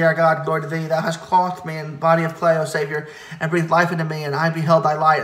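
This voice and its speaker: Guy reading church hymns in a steady near-monotone, solemn, kinda high voice, echoey room. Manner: solemn, measured, occasionally stumbling over words. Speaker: reader of church liturgy. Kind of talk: liturgical recitation of hymn verses.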